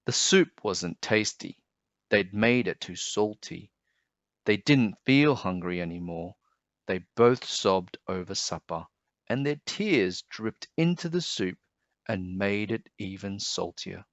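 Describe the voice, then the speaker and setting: A man reading a children's storybook aloud in an expressive, dramatic way. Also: on the low side, slow pace, no room sound, dull audio.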